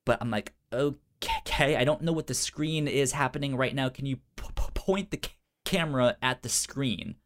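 A man's voice: mid-range voice, normal speed, a bit bright. A man chatting casually as a podcast co-host, stuttering on first sounds of words and acting out conversations as he tells stories. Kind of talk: casual storytelling chat.

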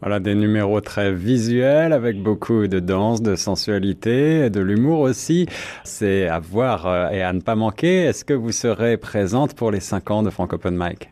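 A man hosts a French-language radio interview from the studio. His voice sits on the low side, and he fills his pauses with hesitant fillers. He steers the talk with questions for his guest. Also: expressive, warm tone. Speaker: radio host.

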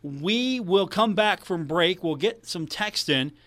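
A man's voice: sits high, expressive, slow, fairly bright tone. A man hosting a live sports radio show in a casual announcing style.

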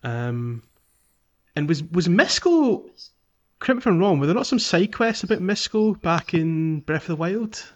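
A man's voice: high voice, very animated, moderate pace, highs cut off. A man co-hosting a casual video game podcast, explaining things in a hesitant, stop-and-start way. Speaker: podcast co-host.

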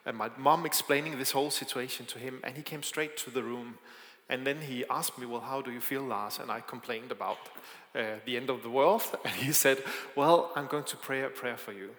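A man's voice: medium pitch, normal speed, a bit bright. A man from Denmark with a Danish accent, preaching a sermon with hesitant fillers and light asides. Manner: hesitant, with frequent fillers and self-deprecating asides. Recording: echoey hall with long reverb.

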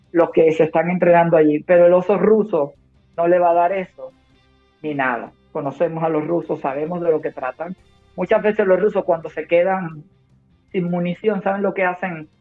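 A woman from Cuba, a war correspondent and nurse, speaking emphatically and with urgency in long turns during a phone interview. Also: on the low side. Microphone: phone call. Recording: tinny phone line.

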